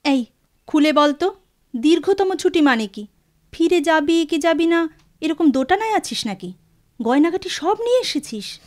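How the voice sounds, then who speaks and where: A woman's voice: high-pitched, expressive, normal speed. An actress in a Bengali audio drama playing a careworn, resigned mother, with an earnest dramatic delivery. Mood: curious, probing. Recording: studio drama with music and drums behind.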